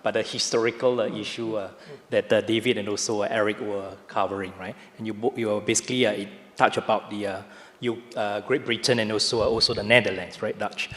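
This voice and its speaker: A man with a Malaysian accent speaking as a panelist, animated and peppered with hesitations and tag questions. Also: on the low side, fairly quick. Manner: animated, lots of filler uh, ends with right?. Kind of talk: panel discussion. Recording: reverberant hall.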